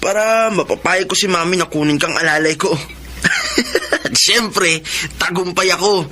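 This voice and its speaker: A man's voice: high-pitched, huge pitch swings, a little slow, pushed and bright, full character voice. A man playing a proud, generous rich young son in a radio drama, boastful and chummy. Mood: proud, cheerful. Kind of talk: Tagalog radio drama acting.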